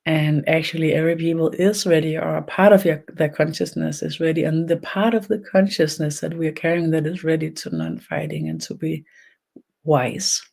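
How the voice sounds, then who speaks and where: A speaker from Denmark with a Danish accent and a gravelly creak leads a guided energy meditation online, speaking haltingly in short phrases with long pauses. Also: mid-range voice, darkish tone. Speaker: spiritual energy healer leading an online session. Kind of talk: slow guided meditation and channeled talk.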